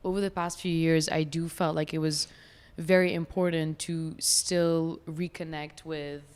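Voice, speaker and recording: A woman in her twenties, raised in France and Dubai, guest on a chat podcast, talking reflectively and often pausing to search for words. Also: deep voice, flat delivery, darkish tone, clear, resonant tone, long reverb tail. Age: 20s. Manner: reflective, searching, many fillers.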